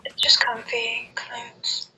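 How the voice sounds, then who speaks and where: A woman from England chatting casually on a live stream, speaking softly and often near a whisper as she thanks viewers. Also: fairly high pitch, completely flat delivery, takes it slowly, poor audio.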